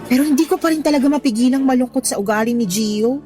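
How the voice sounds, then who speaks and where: A woman's voice: high voice, quick pace, warm tone, faint music behind, lightly acted. An actress playing a meek, devoted young Filipino girlfriend in a radio drama, speaking in a plaintive, hesitant way. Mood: wistful.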